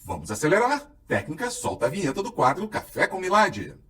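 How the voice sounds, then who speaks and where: A man hosting a talk show about cars, introducing guests and sponsors in an enthusiastic, energetic announcer delivery. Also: sits high, fairly quick, recorded from a distance. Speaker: show host. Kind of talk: lively program host intro.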